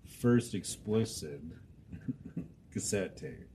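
A man's voice: fairly low pitch, lively intonation, very slow. A man hosting a casual podcast, reading out listener responses, swearing freely and stumbling over names. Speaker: podcast host. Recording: noisy background.

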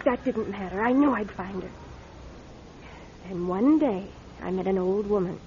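A woman's voice: medium pitch, darkish tone, natural voice. An actress playing a determined, manipulative young woman in a classic radio drama, with an intense, insistent delivery. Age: young adult. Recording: muffled radio recording with noticeable noise.

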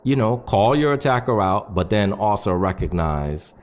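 A man speaking as a podcast guest, explaining things in a measured way and checking in with "right?". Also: on the low side, lively intonation. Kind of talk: conversational advice on a podcast.

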